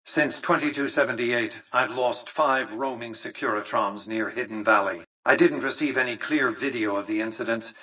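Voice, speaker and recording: An imperious, elderly male industrialist from a video game. His husky voice comes through a radio-like filter, slightly removed from the mic. He speaks with measured, calculating formality and condescending authority, in a forceful, crisp-edged tone. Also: mid-range voice, recorded from a distance, poor audio. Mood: cool, analytical. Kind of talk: scripted video game character dialogue.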